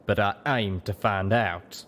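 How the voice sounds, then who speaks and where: A man's voice: fairly low pitch, fairly even intonation, measured pace, a bit bright, a little hiss or hum. A man voicing a confident, teasing male pegasus pony with a folksy drawl, in an audio story. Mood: grim, determined.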